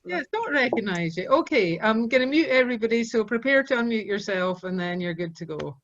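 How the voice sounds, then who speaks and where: A woman hosting a folk club's online session from home, brisk and a little scattered, apologising as she calls on the singers. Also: on the high side, expressive. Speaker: host of a folk club session. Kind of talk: casual hosting on a video call. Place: at home on a video call. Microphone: computer mic on a video call. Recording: dull video call audio with noise.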